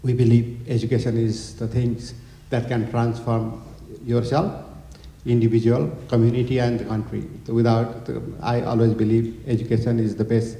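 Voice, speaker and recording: A middle-aged man from Nepal giving a hesitant speech to a gathering, with many pauses and fillers. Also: mid-range voice, flat delivery, dark tone. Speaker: honorary consul general and college owner. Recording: echoey hall.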